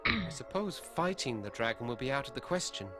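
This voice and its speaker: An actor playing a gentle, naive young male wizard in a video game, speaking earnestly with a mildly wry touch. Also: mid-range voice, big pitch swings, normal speed, over music, close to an everyday voice.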